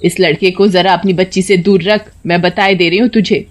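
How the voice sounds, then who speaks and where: A woman's voice: on the low side, steady tone, moderate pace, close to an everyday voice. Woman playing a scheming, spiteful cartoon grandmother. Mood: scolding, accusing. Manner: dramatic, scolding, wailing when feigning hurt. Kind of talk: cartoon story voice acting.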